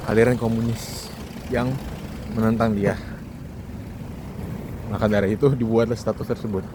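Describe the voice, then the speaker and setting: A man's voice: medium pitch, background noise. A young man from Indonesia with an Indonesian accent, giving a casual, conversational monologue to viewers and tossing questions at them. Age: young adult. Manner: informal, conversational, addresses the audience with questions. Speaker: vlogger, foreign student.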